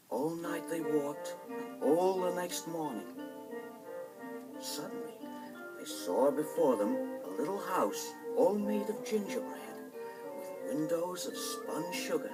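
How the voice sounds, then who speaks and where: A man narrating a children's bedtime story in a dramatic, expressive scripted style. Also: a little high, slow pace, recorded from a distance, low-quality recording. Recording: vinyl record with music behind.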